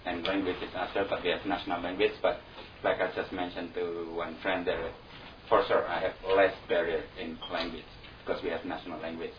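Casting: Indonesian-accented man, very low voice, hesitant phrasing, far from a dull mic. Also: level tone, brisk, hardly any pauses. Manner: hesitant, restarts, non-native phrasing. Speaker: returned volunteer. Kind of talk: informal talk to an audience. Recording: distant, dull mic with faint noise.

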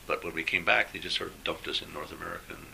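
An older man talking about his past work in a relaxed, reflective conversational interview. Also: low-pitched, racing, gravelly.

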